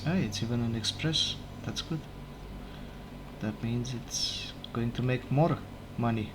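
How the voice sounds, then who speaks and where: A man whose first language is not English, casually commenting on his game as he plays, unhurried and thinking aloud, often correcting himself. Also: mid-range voice, background noise. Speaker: let's play gamer. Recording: game music playing behind. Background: game music.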